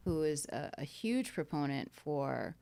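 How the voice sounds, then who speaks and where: Woman podcast host, from newark, lowish voice, dry close mic, friendly questions. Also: very animated, measured pace, creaky, dark tone, no echo. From Newark, New Jersey. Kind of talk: casual interview talk.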